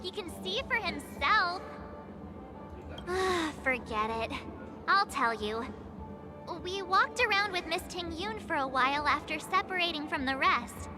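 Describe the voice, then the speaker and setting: A woman's voice: very high voice, expressive, unhurried. A woman voicing a cheerful, chirpy young girl adventurer in a video game. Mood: chatty. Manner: chirpy, giggly, sheepish. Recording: game dialogue over loud music.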